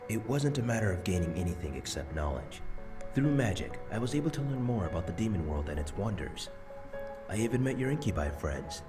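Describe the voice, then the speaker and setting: An actor playing a gentle, regretful grandfather's spirit in a visual novel game, earnest and tender, with music behind. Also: lowish voice, sing-song. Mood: apologetic. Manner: earnest, tender, measured explaining.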